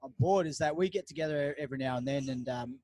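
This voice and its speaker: A man's voice: medium pitch, fast, darkish tone, no room sound. A man hosting a podcast in a cafe, chatting loosely with many fillers and restarts. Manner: rambling, lots of fillers and restarts. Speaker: podcast host. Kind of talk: casual interview chat.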